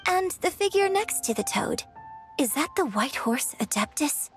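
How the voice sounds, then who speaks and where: A gracious young female opera singer from a game, speaking with poise in a fairly high, expressive voice. She is polite and articulate, with faint music underneath. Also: moderate pace. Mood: curious, admiring. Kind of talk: animated game voice acting.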